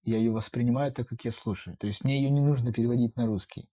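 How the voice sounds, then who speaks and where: A man with a husky, dark, mellow voice at a middle pitch leads a casual chat. He keeps asking probing follow-up questions. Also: steady tone, fast talker. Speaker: interviewer. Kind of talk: casual conversation.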